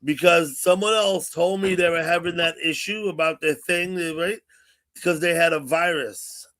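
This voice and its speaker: A man in his sixties hosts a loose livestream chat, his voice sitting a little high for a man. He rambles, circling back and repeating his words as he goes. He talks into a computer mic on the stream call. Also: moderate pace, a bit bright. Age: 60s. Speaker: livestream host.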